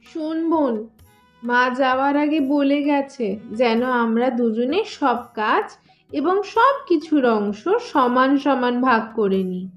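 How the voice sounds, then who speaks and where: A bossy young elder sister from a cartoon , acted by a woman. Her voice is high and swings theatrically as she coaxes and schemes. The recording sounds slightly dull and lo-fi. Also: animated, measured pace, faint music behind. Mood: bossy coaxing. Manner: sly, coaxing, theatrical. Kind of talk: cartoon voice acting in a moral tale.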